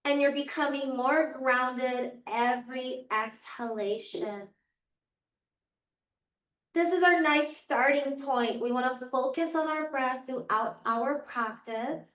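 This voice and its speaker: A woman yoga teacher guiding a class through slow, soothing cues, her voice a little raspy from losing it. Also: high-pitched, fairly bright tone. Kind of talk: guided yoga class instruction. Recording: echoey room, voice from far off.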